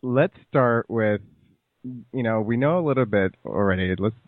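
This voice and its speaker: Podcast host guy, teasing and giggly, lots of ums, lowish voice, sounds like a phone call. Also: animated, moderate pace, no echo. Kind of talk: casual interview chat. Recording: dry, tinny phone-like line.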